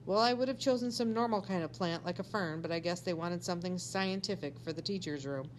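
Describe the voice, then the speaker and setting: A woman's voice: lowish voice, a little fast, slight background noise, close to an everyday voice. Sensible, matter-of-fact mother read by a woman.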